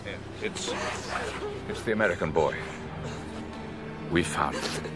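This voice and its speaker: Grim cop, low gravelly n slow, hesitant, horror radio play. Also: male voice, over loud music. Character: grave, hesitant male police searcher. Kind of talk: radio horror drama acting.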